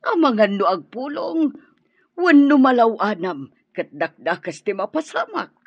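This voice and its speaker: A woman's voice: on the high side, big pitch swings, a little slow, husky, no echo, highs cut off, full character voice. A woman with a Filipino accent playing a scheming but wary older mother-in-law in a radio drama, speaking in cautioning tones. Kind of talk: Ilocano radio drama acting.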